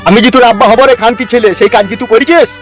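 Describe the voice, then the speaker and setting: Guy doing hot-tempered cartoon dad, high voice, crazy fast scolding, music under it. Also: steady tone. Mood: furious. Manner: ranting, scolding, exaggerated. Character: furious, foul-mouthed protective village father. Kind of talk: melodramatic comedy cartoon dubbing.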